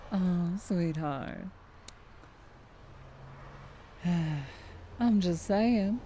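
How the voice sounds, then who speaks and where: A woman's voice: low voice, warm tone, slight background noise. A woman playing a tall, teasing, predatory vampire trucker with a Southern US accent and a flirtatious drawl, in an ASMR roleplay. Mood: mocking, sly. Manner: slow teasing drawl with chuckles and pet names.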